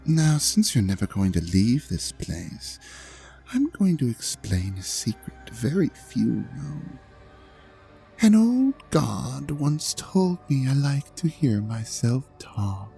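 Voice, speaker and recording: A man playing an ancient, vain dragon god in an audio drama, pompous and mock-courteous, with a theatrical drawl that sinks into breathy murmurs. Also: medium pitch, very animated, slow, warm tone, soft background music, exaggerated voice. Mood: boastful, languid. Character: ancient vain male dragon god, suave, hypnotic, menacing host. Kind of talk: scripted audio drama monologue addressed to the listener.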